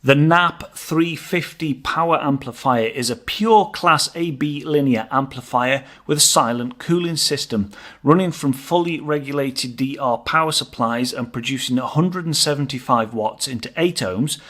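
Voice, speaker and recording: A man's voice: mid-range voice, normal speed, a bit bright. A man presenting a product overview, moving between scripted technical narration and informal, understated personal opinion. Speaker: hi-fi shop presenter and reviewer.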